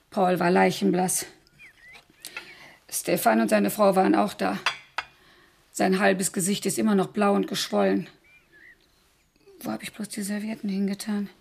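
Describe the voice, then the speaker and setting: A busy, gossipy housekeeper in a radio drama. Her creaky voice is practical and chatty, amid clearly audible clatter of cutlery and dishes. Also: mid-range voice, noisy background. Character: bustling, talkative housekeeper and caregiver, practical, gossipy. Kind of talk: radio crime drama acting.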